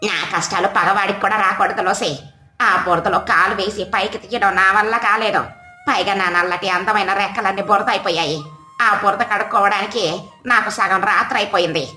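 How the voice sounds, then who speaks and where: A woman's voice: mid-range voice, steady tone, a little fast, bright, forceful tone, echoey room, faint music behind, close to an everyday voice. A woman voicing a boastful, touchy adult crow who complains dramatically in a children's cartoon story. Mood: complaining. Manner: complaining, indignant. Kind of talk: children's animated story dialogue.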